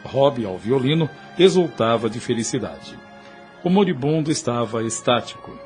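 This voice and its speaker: A man narrating a scripted audio novel in a solemn, measured storytelling manner. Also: mid-range voice, sing-song, a little mellow, faint music behind.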